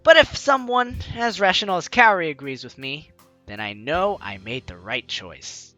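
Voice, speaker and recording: A man's voice: a little high, lively intonation, normal speed, pushed and bright, lo-fi sound, soft background music. A man hosting a let's play, expressively reading a story game's text aloud and reacting with enthusiasm. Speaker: gaming video host.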